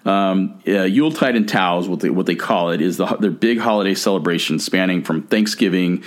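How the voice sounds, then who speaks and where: A man co-hosting a podcast in casual chat, halting and often repeating his words and phrases. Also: low voice. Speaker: podcast co-host.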